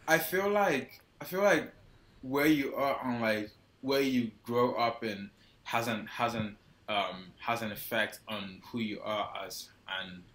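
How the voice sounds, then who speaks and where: A young Nigerian man talks as a guest on a video call, his fairly low voice bright and forceful. He rambles casually with many restarts and fillers. The sound is roomy, with echo from a small indoor room. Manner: loose and hesitant, heavy use of "like" and restarts. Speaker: guest photographer. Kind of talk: casual interview answers. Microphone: wired earphone mic on a video call.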